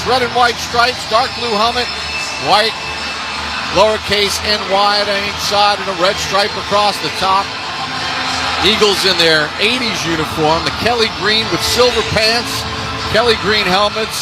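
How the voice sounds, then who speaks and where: A man with a somewhat high voice announces football on the radio. He speaks in measured, clipped descriptive phrases, with music clearly mixed in behind him. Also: rough recording. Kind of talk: sports play-by-play commentary with scripted sponsor reads. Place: stadium broadcast booth.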